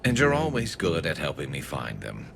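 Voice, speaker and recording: Very deep, gravelly male soldier. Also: huge pitch swings, moderate pace, a bit bright, a little hiss or hum, heavily acted. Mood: dry resolve. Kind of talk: video game voice acting.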